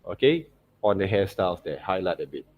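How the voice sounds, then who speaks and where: A man giving an unscripted step-by-step tutorial as an art teacher, halting and repetitive, often checking in with short tag words. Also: low voice, big pitch swings, highs cut off. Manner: halting, repetitive phrasing with frequent "okay, right, see" checks. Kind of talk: unscripted step-by-step drawing demonstration.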